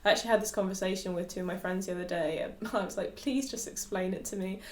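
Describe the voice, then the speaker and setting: A woman's voice: mid-range voice, steady tone, fast, echoey room. A young woman in her twenties who grew up in Dubai, a yoga teacher chatting casually to camera, giggling often and using lots of fillers.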